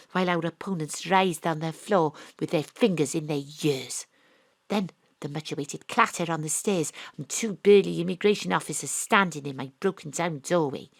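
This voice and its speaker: A woman's voice: medium pitch, no echo. Wry Welsh woman narrating comic radio drama. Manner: wry, brash, deadpan. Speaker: narrator and lead actor. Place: home studio. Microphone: studio mic over a live internet link.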